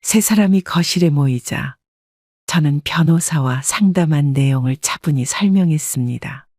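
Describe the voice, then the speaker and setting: A woman narrating a first-person family story in a calm, scripted storytelling style. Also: low-pitched, moderate pace, no room sound, studio quality.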